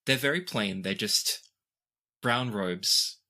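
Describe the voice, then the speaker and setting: Bright-voiced man running a tabletop game. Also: fairly low pitch, takes it slowly. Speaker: game master. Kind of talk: narrating a tabletop game, casual rules talk.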